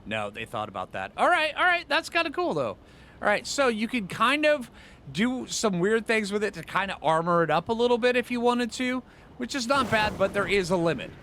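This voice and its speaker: A man with a bright, fairly high voice talks through a video game as host. His pitch swings in a lively way as he thinks aloud in loose, slangy phrases. Faint game ambience sits behind him. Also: a little fast, slight background noise. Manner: informal slangy phrasing, rambling asides. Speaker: gaming channel host. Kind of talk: casual gameplay commentary, thinking aloud.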